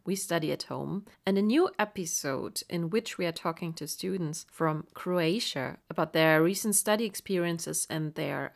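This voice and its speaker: A woman hosting an education podcast, reading a measured, upbeat introduction. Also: on the low side, vocal fry. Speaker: podcast host. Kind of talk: scripted introduction.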